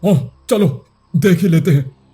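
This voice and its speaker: A man playing a menacing enemy soldier in a cartoon story, gruff, threatening and commanding. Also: a little high, measured pace, warm tone, soft background music. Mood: suspicious. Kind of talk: Hindi cartoon moral story dialogue.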